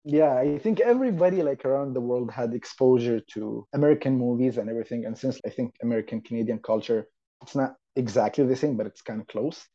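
A man's voice: mid-range voice, quick pace, warm tone. A man of twenty-two from Saudi Arabia with an Arabic accent, telling his own story casually and informally as a podcast guest. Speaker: podcast guest, university student. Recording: slightly dull lo-fi audio.

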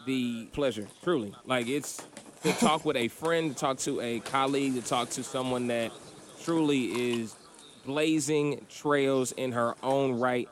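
A man hosting a podcast interview, talking in a relaxed, chatty way with plenty of fillers. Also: mid-range voice, unhurried, slight background noise. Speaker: podcast host. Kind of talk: casual interview.

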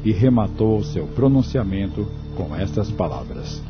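An actor narrating a Brazilian radio novel as a measured, solemn storyteller. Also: male voice, lowish voice, expressive. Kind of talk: scripted radio drama narration. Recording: dull radio audio, music behind.

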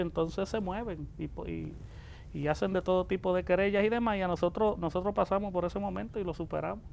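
A man's voice: sits high, creaky, a little mellow. A man in his forties with a Puerto Rican accent, telling anecdotes casually as an interview guest, with some background noise. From born in the Dominican Republic, raised in Puerto Rico from age ten. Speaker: interview guest, music producer.

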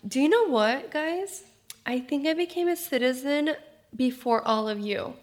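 Woman with a higher, playful voice. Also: expressive, unhurried. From Ukraine. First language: not English; English is her third language. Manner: playful, laughs often. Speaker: podcast co-host. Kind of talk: casual chat.

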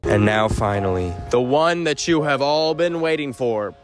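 A man's voice: medium pitch, animated, unhurried. A young man narrating a casual comedic vlog as a YouTube host. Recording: loud music behind the voice. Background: music.

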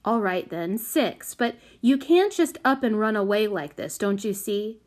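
A woman voicing a hesitant, soft-hearted boy who reasons and pleads in a storybook. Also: mid-range voice, animated, unhurried, lightly acted. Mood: exasperated. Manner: placating.

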